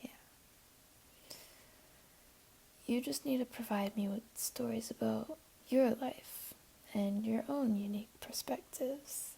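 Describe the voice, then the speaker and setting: A woman voicing a flirty, teasing, comforting jaguar beastling in an intimate ASMR roleplay, speaking softly and coaxingly in a half-whisper. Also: on the high side, unhurried, vocal fry, breathy edge, full character voice. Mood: patient, inviting.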